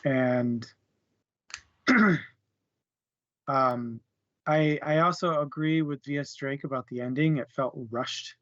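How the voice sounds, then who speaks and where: A man's voice: mid-range voice, fairly even intonation, slow, pauses often, fairly bright tone, no echo, highs cut off. A man casually discussing a book as a co-host, hesitant and searching for words, with small chuckles. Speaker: book club podcast co-host.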